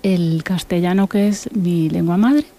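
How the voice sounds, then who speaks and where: Low gravelly woman, mellow and thoughtful. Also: a little slow. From Argentina (Buenos Aires). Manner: thoughtful, circles back with repeated words and hums. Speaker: musician and author guest. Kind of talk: relaxed interview conversation.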